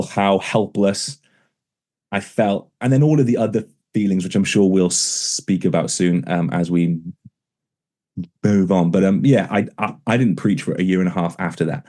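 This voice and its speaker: Man with a stammer, very low pitch, mellow tone, pastor in conversation, repeats words. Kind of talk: interview conversation.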